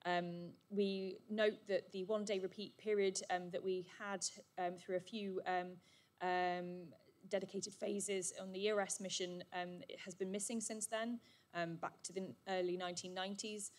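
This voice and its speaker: A woman giving a brisk, upbeat summary at a conference, with frequent "um" fillers. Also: mid-range voice, flat delivery. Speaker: session chair presenting summaries. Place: conference hall. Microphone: handheld or podium microphone.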